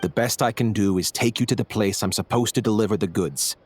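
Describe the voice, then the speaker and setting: Man playing a lowish-voiced, wary smuggler informant. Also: fairly quick, a little hiss or hum, natural voice. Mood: uneasy, cooperative. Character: male smuggler turned informant, wary, obliging underling. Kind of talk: video game voice acting.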